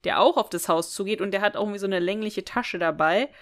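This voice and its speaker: A woman's voice: mid-range voice, quick pace. A woman co-hosting a podcast in casual chat, explaining and correcting in a matter-of-fact way. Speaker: podcast co-host. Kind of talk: casual chat, retelling a story.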